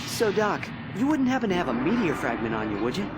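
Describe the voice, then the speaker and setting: An actor playing a wisecracking young superhero in a video game, with sarcastic, flippant delivery. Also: male voice, sits high, wildly swooping pitch, fast, loud music behind the voice. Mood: casual teasing.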